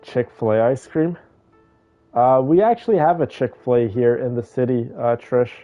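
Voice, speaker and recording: A man streaming a game and giving casual commentary in a musing, drawn-out way. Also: mid-range voice. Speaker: streamer reacting to a game story. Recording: dull microphone, faint game music behind.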